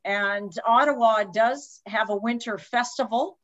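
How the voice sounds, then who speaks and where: A woman from Canada giving an online lecture over slides on a video call, speaking in a measured way with frequent hesitations. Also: a little high. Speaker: guest lecturer. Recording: slightly dull video-call audio.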